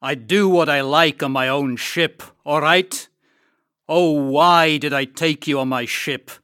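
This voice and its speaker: A man putting on a snarling, bright-voiced brute of a ship captain. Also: a little high, slow pace, clean tone, no echo, clean studio sound. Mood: angry. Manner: snarling, contemptuous. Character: brutal angry male ship captain.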